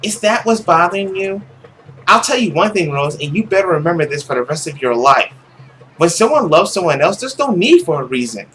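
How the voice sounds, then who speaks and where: A man's voice: sits high, very animated, brisk, a bit bright, soft background music. A man voicing a cheerful, lovestruck teenage boy, playful and pleading, in a visual novel. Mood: earnest, lovestruck.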